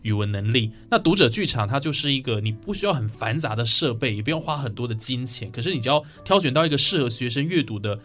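Male interview host, Taiwanese Mandarin, mid pitch, recaps and prompts, light music behind. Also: lively intonation, fast, few pauses, a bit bright. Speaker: programme host. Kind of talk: studio interview.

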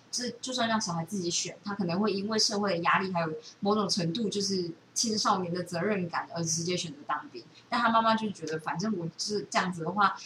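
Taiwanese lady rambling through stories real fast, bit far from the mic, noisy roomy recording. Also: fairly low pitch, bright, forceful tone. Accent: Taiwanese Mandarin. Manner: rambling, loosely strung storytelling. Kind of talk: casual chat, retelling a book. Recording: echoey room, distant mic, background noise.

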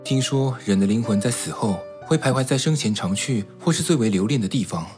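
A courteous young man, played by an actor in a suspense radio play. His medium-pitched voice is reassuring and polite, with clear music behind it. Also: animated, fairly quick, natural voice. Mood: thoughtful. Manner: polite, explanatory. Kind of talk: modern suspense radio drama acting.

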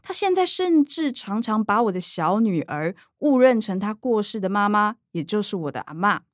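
A woman's voice: mid-range voice, very animated, moderate pace, dry recording, studio quality, lightly acted. An actress playing a showy middle-aged Taiwanese mother who gushes in a sugary, self-dramatizing way for her livestream, in a radio drama. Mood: earnest, performed. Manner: gushing, performs for the camera.

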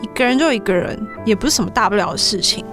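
A woman playing an excitable, chatty teenage idol fan in an audio drama. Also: mid-range voice, fast, close to an everyday voice. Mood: resigned. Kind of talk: Chinese audio drama acting. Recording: music under the voice.

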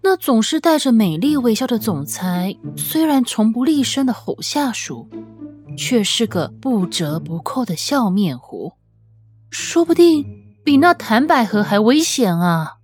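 A woman reading scripted fiction as an audiobook narrator, with an expressive, measured, dramatic storytelling delivery. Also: on the high side. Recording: music under the voice. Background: background music.

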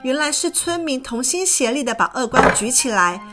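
Expressive woman narrating a children's story. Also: high-pitched, moderate pace. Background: background music.

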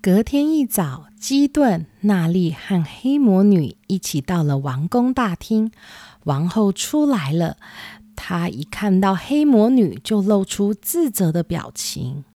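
Lady reading a kids story, slow, mellow, dry close recording. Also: mid-range voice, very animated, dry recording. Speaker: storyteller, narrator. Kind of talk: scripted children's story narration.

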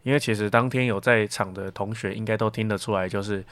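A man's voice: fairly low pitch, fairly even intonation, fast, a bit bright, dry recording. A young man with a Taiwanese Mandarin accent giving casual, reflective interview answers as a student, talkative and explaining with examples. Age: young adult.

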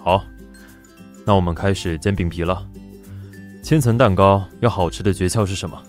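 An actor playing a sly, teasing pastry chef father who speaks calmly in a low murmur. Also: moderate pace, lightly acted. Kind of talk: BL audio drama acting. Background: music.

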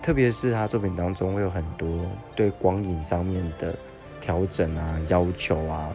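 A man records a casual testimonial message. He speaks in a fairly low voice. Music is clearly heard behind him as he talks. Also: steady tone, moderate pace. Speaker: photo model leaving a recorded message. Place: pre-recorded voice message played over music.

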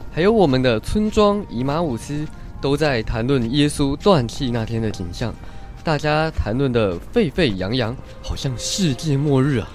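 An actor playing an anxious, doubting older village man in a radio drama, with expressive sighs and exclamations, as in a biblical tale. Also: on the high side, close to an everyday voice. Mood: puzzled.

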